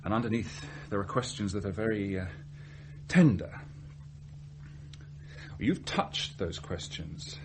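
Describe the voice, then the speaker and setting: An actor playing an earnest, lovestruck research scientist in a radio play, confessing his feelings in a hesitant, deliberate way. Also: on the low side, expressive, slight background noise. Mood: hesitant, intense. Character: earnest, lovestruck, self-searching male research scientist from genteel Salisbury. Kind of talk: radio drama acting.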